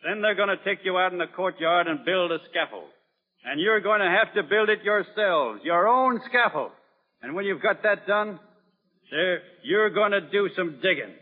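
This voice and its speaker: A stern, husky Southern army doctor. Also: male voice, fairly high pitch, animated, moderate pace, long reverb tail. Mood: fierce, commanding. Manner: forceful, clipped orders. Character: stern, weary, commanding male army-prison doctor. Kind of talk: old Hollywood film drama acting. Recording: muffled, narrow-band old film soundtrack.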